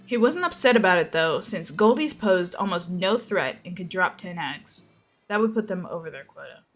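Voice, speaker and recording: A woman narrates a story in a dramatic reading style. Her voice sits a little low, and music plays along behind her. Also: expressive, normal speed. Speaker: narrator of a fan fiction reading.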